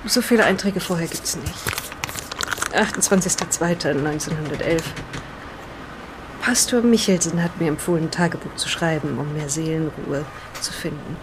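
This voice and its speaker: A woman playing a polite, careful reader in an improvised audio play, speaking in a measured and slightly halting way. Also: deep voice, background noise, close to an everyday voice. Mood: careful, studious. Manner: polite, measured, halting over unfamiliar words. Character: polite, careful woman reading an explorer's old diary. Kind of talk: improvised in-character audio play with diary reading.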